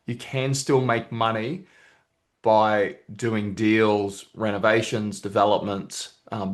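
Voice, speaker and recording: A man speaking as a host and coach, introducing and talking with a guest in a casual online interview. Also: lowish voice. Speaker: host, property investment coach. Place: remote video call.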